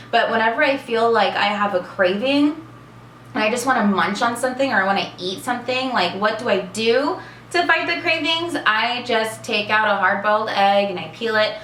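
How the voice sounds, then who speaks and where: Casting: chatty woman in her twenties, vlogger, bright voice, echoey room, faint hiss. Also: medium pitch. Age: 20s. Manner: chatty and bubbly, many fillers. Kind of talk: casual Q&A vlog.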